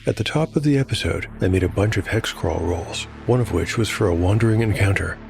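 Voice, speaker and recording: A man narrating a solo fantasy role-playing show as game master, in a measured, explanatory scripted style. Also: low voice, expressive, creaky, darkish tone. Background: music.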